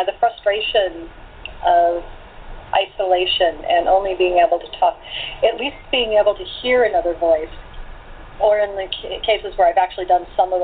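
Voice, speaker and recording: A woman chatting casually in a group conversation, with a rambling way of talking, over thin call audio. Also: on the low side. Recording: noisy, phone-like remote call audio.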